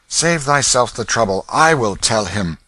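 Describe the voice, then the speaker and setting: A haughty young king, put on by a male narrator answers in short, cold, disdainful lines. The voice sits a little raised, bright and dry as in a close storybook reading. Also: medium pitch, lively intonation, measured pace, dry recording, pitched above the performer's own voice, poor audio. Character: proud, haughty boy king in rags.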